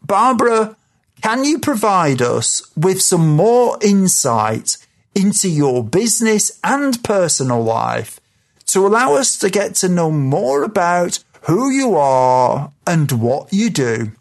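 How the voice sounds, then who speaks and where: A woman hosting a podcast, reading out a guest introduction in a measured, scripted way. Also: fairly low pitch, big pitch swings. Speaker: podcast host.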